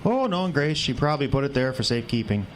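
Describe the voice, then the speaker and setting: A man's voice: mid-range voice, very animated, fast, lightly acted. A man playing a wry, wary older family man with a dry, folksy manner in a radio drama. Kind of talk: audio drama acting. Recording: clearly noisy amateur radio drama recording.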